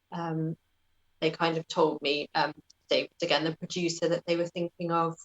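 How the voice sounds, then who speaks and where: A woman's voice: fairly low pitch, rough recording. A British woman answering as an interview guest in a conversational, thoughtful, self-effacing way.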